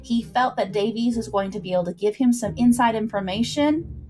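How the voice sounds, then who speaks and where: A woman's voice: fairly high pitch, a little fast, a little mellow. A woman hosting a livestream, chatting informally with viewers and recapping a story, with asides to the chat. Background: background music.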